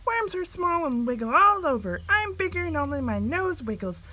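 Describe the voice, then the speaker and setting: A woman's voice: sits high, sing-song, normal speed, pushed and bright, pitched above the performer's own voice, heavily acted. A woman voicing a matter-of-fact rabbit who explains things firmly. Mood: explaining.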